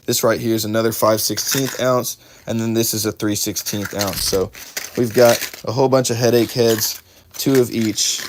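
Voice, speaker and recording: A man's voice: on the low side, fairly even intonation, normal speed, noisy background. A man hosting a live unboxing, chatting casually with viewers and correcting himself as he goes, his voice a little worn. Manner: chatty, talks to chat viewers by name, self-correcting. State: slightly losing his voice. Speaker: fishing livestream host.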